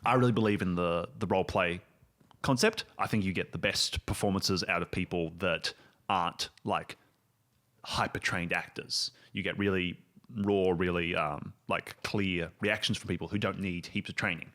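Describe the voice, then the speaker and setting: A man talking casually as a game master, halting and emphatic as he thinks aloud and describes things. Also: sits low, fairly even intonation, fairly bright tone. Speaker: show creator and game master. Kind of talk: casual chat and improvised game narration.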